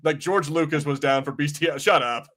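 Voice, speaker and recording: A man hosting a casual pop-culture podcast, chatting in an animated way and arguing his point with casual swearing. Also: a little high, brisk. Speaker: podcast host.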